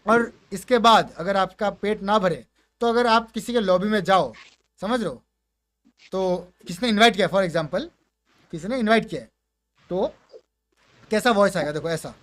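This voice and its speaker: High-pitched Indian man, casual gaming commentary. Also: measured pace, pauses often, a bit bright. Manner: informal, slangy, mixes Hindi and English. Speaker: gaming content creator presenting his voice pack.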